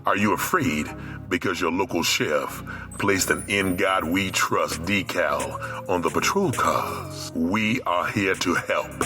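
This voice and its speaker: A man's voice: low-pitched, takes it slowly. A man reading a scripted advocacy advert in an earnest announcer manner. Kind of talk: scripted public-service advert. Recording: advert over clear music bed.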